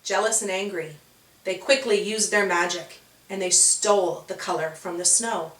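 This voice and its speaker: A woman narrating a scripted children's story in a measured, dramatic storytelling manner. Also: medium pitch, steady tone, fairly bright tone. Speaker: author and narrator. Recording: roomy, distant from the mic.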